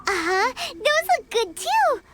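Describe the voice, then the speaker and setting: A woman voicing a tiny, excitable, food-loving fairy companion in a video game, with a squeaky childlike voice and exclamatory manner. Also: slow pace, heavy background noise, exaggerated voice. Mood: eager, hungry. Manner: speaks of herself in third person, exclamatory. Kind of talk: video game character acting.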